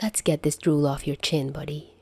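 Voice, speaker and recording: Woman playing a teasing, protective fatherly man, bright-voiced. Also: low voice, fairly even intonation, normal speed, slightly rough, dry recording. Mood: soothing, tender. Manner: teasing, soothing.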